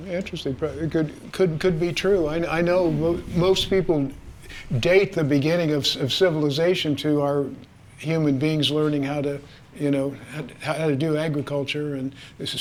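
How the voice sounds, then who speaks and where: A man's voice: a little high, flat delivery, normal speed, a little mellow, slight background noise. A man, an author at a reading, answering audience questions in a casual way, stammering and repeating words as he searches for his thoughts. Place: community room.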